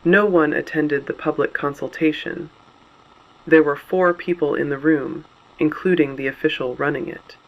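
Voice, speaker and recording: A man playing a grave resistance broadcaster, narrating a scripted dystopian radio broadcast in a measured, deliberate, solemn way. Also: fairly high pitch, steady tone, lo-fi sound, lightly acted. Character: grave adult male resistance broadcaster reporting on fallen cities.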